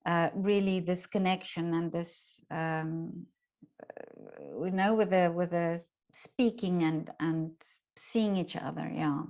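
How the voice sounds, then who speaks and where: A middle-aged woman with a fairly low voice chats as an interview host. She is effusive and conversational. The recording is slightly dull and muffled. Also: fairly even intonation, slow, a little mellow. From lives in Vienna, Austria. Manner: chatty, effusive, frequent agreeing interjections.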